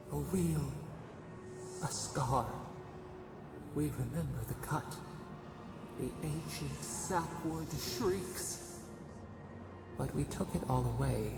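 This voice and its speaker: An actor voicing an ancient collective nature spirit in a fantasy game, solemn and mournful, with a gravelly, portentous, incantatory delivery that echoes under music. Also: male voice, mid-range voice. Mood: solemn, wounded. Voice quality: gravelly, creaking. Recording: cavernous echo, distant, under loud music.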